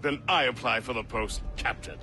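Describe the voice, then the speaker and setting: An actor playing a jovial, eager first mate in a video game, chatty and theatrical. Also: male voice, medium pitch, normal speed, over music, close to an everyday voice. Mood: cheerful.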